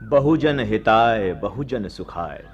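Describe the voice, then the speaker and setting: An actor playing a serene, resolute monk teacher in a radio play, solemn and declamatory in formal, elevated diction. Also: male voice, on the low side, animated, takes it slowly, lo-fi sound. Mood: devout.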